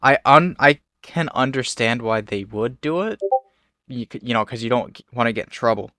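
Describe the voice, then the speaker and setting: A man's voice: medium pitch, animated, moderate pace, sharp tone, no room sound. Dry-sounding casual young man. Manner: easygoing, laughs easily. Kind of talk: casual chat.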